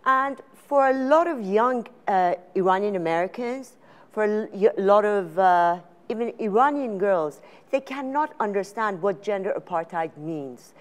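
Panel moderator, middle-aged woman; even mid voice, careful, pausing delivery on a conference stage. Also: medium pitch. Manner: measured, hesitant with many fillers. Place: conference stage before an audience.

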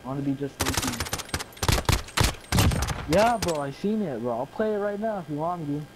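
A young man talks eagerly in slang over game voice chat. His voice is high and lively, and it comes through heavy noise with clicks and gunfire. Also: normal speed. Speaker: online game player, fan.

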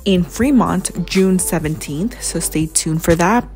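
A woman's voice: fairly low pitch, slow, a little mellow, soft background music. A young woman vlogging to a handheld phone camera in an upbeat, chatty way, talking about running a market booth. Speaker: vlogger and entrepreneur. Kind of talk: casual vlog to camera. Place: car, home and outdoor market. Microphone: phone camera held at arm's length.

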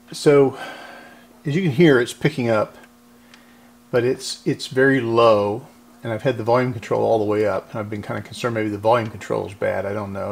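A man's voice: mid-range voice, gravelly. An older man talking casually and unscripted, thinking aloud as he works through a hands-on repair. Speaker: host repairing vintage radios. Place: indoor electronics workbench. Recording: noisy workshop, clicking sounds.

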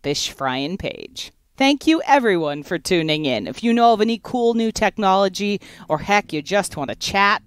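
Lively, chatty woman podcast host. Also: medium pitch. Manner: upbeat, chatty, playful. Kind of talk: podcast hosting and outro.

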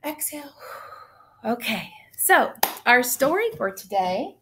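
Slow, upbeat teacher woman on webcam. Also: on the high side, big pitch swings, fairly bright tone, slight background noise. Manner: upbeat, counting beats aloud. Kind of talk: online class read-aloud. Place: small room indoors. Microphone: webcam on video call.

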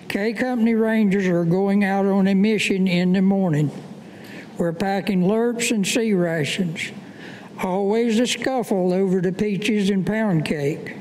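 An older man reads a war memoir aloud in a high voice. He takes it slowly, one halting line at a time. The room rings around the podium microphone, with noise behind him. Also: darkish tone. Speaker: Vietnam veteran reading his own work. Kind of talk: staged reading of a war memoir poem. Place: indoor meeting room, at a podium. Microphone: gooseneck podium microphone. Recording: echoey room, clear background noise.

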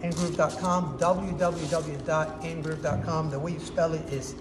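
A man's voice: on the high side, little pitch movement, moderate pace. Upbeat man reviewing hardware in echoey room. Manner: upbeat, enthusiastic, demonstrating with hands. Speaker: product reviewer. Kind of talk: casual product review to camera. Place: indoor workshop with hard walls. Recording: echoey room, faint background noise.

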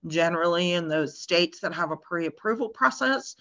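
A middle-aged woman answering a question on a video call from a room at home, measured and informative with hesitant ums. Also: lowish voice, lively intonation, fairly bright tone. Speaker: insurance regulator answering a question. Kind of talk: webinar Q&A answer. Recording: dull video call audio.

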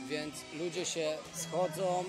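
A man's voice: on the high side, little pitch movement, takes it slowly, very echoey. A man co-commentating live on a wrestling match at a busy indoor arena event. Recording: loud music and crowd noise behind. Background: loud music, crowd.

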